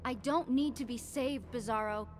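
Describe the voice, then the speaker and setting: A woman's voice: a little high, moderate pace, natural voice. A woman playing an innocent, frightened young woman in a video game, pleading politely over music. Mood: uneasy. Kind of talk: video game character dialogue. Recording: game clips under loud music.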